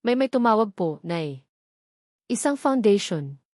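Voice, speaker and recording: A woman's voice: medium pitch, sing-song, measured pace, a little mellow, no room sound, studio quality. A woman voicing a troubled young daughter in a narrated story, polite and hesitant. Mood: hesitant, shaky.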